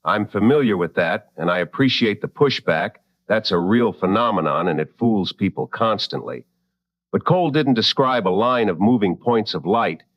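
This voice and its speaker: Measured low-voiced late-night radio host. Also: male voice, expressive, lo-fi sound. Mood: calm, probing. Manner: deliberate, measured, dramatic phrasing.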